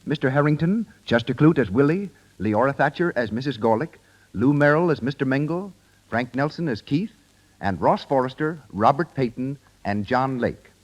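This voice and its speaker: A man's voice: mid-range voice, expressive, normal speed, low-quality recording. A man announcing credits on 1930s radio in a formal, scripted style. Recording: 1930s radio broadcast, faint audience noise.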